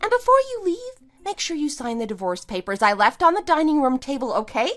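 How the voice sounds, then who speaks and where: High-pitched, scornful wronged wife, acted by a woman. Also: big pitch swings, a little fast, soft background music. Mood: cold, firm. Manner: sarcastic, taunting, emphatic. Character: wronged wife, scornful and triumphant, kicking out cheating husband. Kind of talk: amateur audio drama acting.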